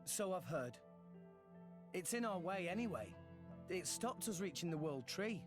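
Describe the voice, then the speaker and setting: Game role: an earnest teen lad, animated and high, casual questioner, faint music. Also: male voice, a little slow. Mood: surprised, questioning. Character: earnest teenage boy adventurer, curious and frank. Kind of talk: game cutscene acting.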